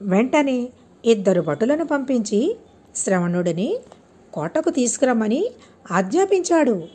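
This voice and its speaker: A woman reading a scripted moral story aloud as an audiobook storyteller, in an expressive, measured way. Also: on the high side, husky.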